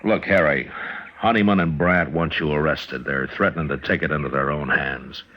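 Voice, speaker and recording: An actor playing a weary, stern frontier marshal in an old radio western, American accent, terse and dry. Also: male voice, a bass voice, moderate pace, a little hiss or hum. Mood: grave concern. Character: weary, stern male frontier marshal, narrating his own story. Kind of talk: old-time radio western acting and first-person narration. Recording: dull old radio recording with music.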